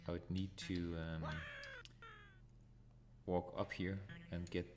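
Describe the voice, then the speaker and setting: A man's voice: low voice, level tone, slow, background noise. A Danish man with a Danish accent, hosting a retro gaming channel, giving live commentary as he plays and thinks aloud. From Denmark. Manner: thinks aloud, chuckles at his own deaths.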